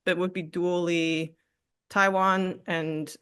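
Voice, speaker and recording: A woman artist in a candid interview, speaking reflectively with frequent fillers, restarts and soft laughs. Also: lowish voice, little pitch movement, slow, clear, resonant tone, no room sound, clean studio sound. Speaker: artist guest.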